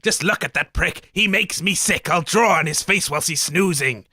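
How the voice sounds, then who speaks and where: A man's voice: fairly high pitch, normal speed, pushed and bright, slightly rough, dry recording, full character voice. A man voicing an evil, scheming pirate captain in rhyming verse. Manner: rhyming villain speech.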